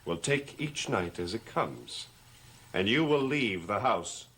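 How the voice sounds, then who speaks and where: An actor playing the brooding, resolute master of a cursed house in a gothic soap opera, speaking in a deliberate, commanding, dramatic manner. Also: male voice, on the low side, animated, a bit bright, full character voice, poor audio. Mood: firm, accusing.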